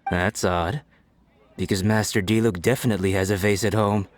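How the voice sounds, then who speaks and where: A man's voice: fairly low pitch, steady tone, moderate pace, close to an everyday voice. A man voicing a knowing, gossipy tavern bystander in a video game.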